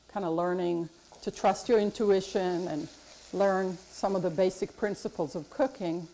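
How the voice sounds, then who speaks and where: A middle-aged woman with a low, mellow voice talks through a cooking demo. She explains as she works, chatty and full of fillers, recorded by a camera across a big kitchen with a faint hiss behind her. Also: rough recording. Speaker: chef and cooking instructor. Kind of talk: casual cooking demonstration. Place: large kitchen classroom indoors.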